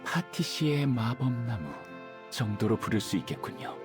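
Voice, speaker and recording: A man's voice: medium pitch, sing-song, normal speed, music in the background, close to an everyday voice. A man voicing a polite, earnest scholar in a game cutscene, formal, thoughtful and worried as he reasons aloud. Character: polite analytical male living cookie scholar, earnest, worried. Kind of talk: scripted video game cutscene acting.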